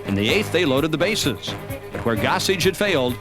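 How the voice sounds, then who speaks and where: An American man reading scripted narration for a sports highlight documentary. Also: big pitch swings, moderate pace. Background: music.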